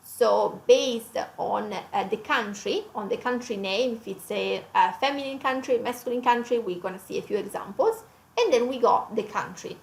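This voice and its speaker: A woman's voice: fairly high pitch, normal speed, gravelly. A woman with an Italian accent teaching a language lesson conversationally, repeating phrases and breaking them down step by step in an echoey room.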